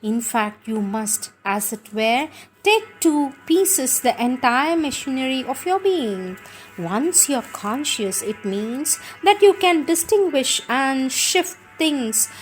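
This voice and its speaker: A woman reading scripted spiritual teachings in a measured, deliberate, emphatic manner. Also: high voice, rough recording.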